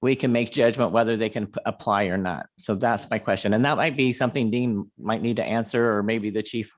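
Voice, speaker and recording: A man's voice: lowish voice, brisk. A man serving as a city councilman, asking earnest questions in a plain-spoken way at a public meeting.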